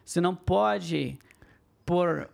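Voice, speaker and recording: A man's voice: high-pitched, slow pace, gravelly, pitched above the performer's own voice, heavily acted. A man voicing his stern older Portuguese father, who scolds him insistently in Portuguese. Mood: scolding.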